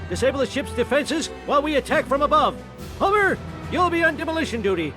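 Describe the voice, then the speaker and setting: High-pitched blustery old army sergeant. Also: male voice. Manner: barking, folksy, blustery. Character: gruff, blustering elderly army sergeant, crude and commanding. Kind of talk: cartoon video game military briefing.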